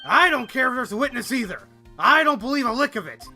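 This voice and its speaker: A man voicing a gruff, blustering but loyal police detective in an amateur video game dub, brash and folksy. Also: very high-pitched, sing-song, fast talker, bright, forceful tone, faint music behind, full character voice. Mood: earnest, loyal. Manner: brash, folksy, calls people pal.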